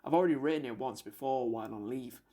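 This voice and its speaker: A man's voice: medium pitch, fast, warm tone, lightly acted. Man as a guarded, reticent young soldier-poet. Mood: earnest. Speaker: actor in a student radio drama. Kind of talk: radio drama acting.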